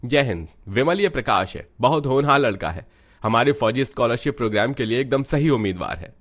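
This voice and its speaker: An actor voicing a firm, kind-hearted army major in a cartoon story, speaking with calm authority. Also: male voice, medium pitch, fairly quick. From Punjab. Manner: firm, warm-hearted, commanding. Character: kind, upright army major, middle-aged man. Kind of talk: cartoon voice acting.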